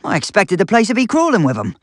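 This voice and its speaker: Paranoid, cantankerous old eccentric. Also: male voice, sits high, quick pace, no room sound, studio quality, heavily acted. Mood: grumbling, rambling. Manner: folksy, rambling, excitable, grumbling. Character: eccentric, cloud-paranoid old man, cantankerous ranting inventor. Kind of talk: video game character acting.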